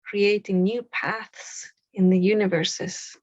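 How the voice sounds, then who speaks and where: Slow-paced woman guiding a meditation. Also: medium pitch, level tone, darkish tone, no room sound, dull audio. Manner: soothing, unhurried, pausing between short phrases. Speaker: meditation guide. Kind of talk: guided meditation.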